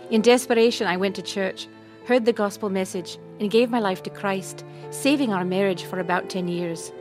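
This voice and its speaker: A woman from Scotland reading her personal testimony in a plain, steady storytelling manner. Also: medium pitch, moderate pace. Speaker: testimony speaker. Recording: music bed behind the voice.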